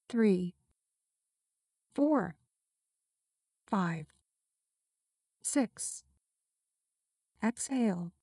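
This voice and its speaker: Slow, soothing woman guiding meditation. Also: medium pitch, warm tone, no echo, studio quality. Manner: slow, soothing, counts breaths aloud. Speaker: life coach and meditation guide. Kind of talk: guided meditation.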